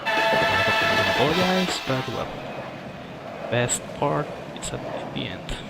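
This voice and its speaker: English-speaking man over loud music. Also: mid-range voice, very animated, slow. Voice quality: non-native English. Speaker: speedrunner. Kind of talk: livestream gameplay commentary. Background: game music.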